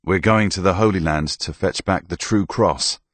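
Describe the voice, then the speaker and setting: A man voicing a blunt, sardonic former soldier in a gruff tone. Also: sits low, moderate pace, a bit bright, dry recording.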